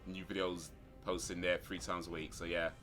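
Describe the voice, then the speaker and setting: A man's voice: low voice, level tone, normal speed. A man hosting a casual livestream, chatting with viewers and a fellow player. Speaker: game streamer and host. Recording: loud music behind. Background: music.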